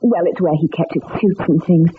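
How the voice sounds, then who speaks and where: An actress voicing a grieving young woman, a murdered man's fiancée, in a vintage radio drama, speaking softly and tearfully. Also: lowish voice, brisk, lightly acted. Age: young adult. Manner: tearful, fragile, softly spoken. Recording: lo-fi old radio broadcast with hiss.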